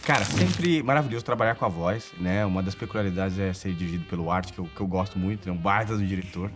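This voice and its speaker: A man's voice: low-pitched, fast talker. A Brazilian man, a voice actor, chatting informally and with some slang in an interview. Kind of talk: casual chat to camera. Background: background music.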